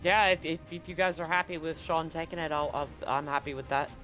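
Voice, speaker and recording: A man acting as game master, narrating and explaining a tabletop scene in a casual, halting way that often rephrases. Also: a little high, fairly even intonation, pushed and bright, faint music behind.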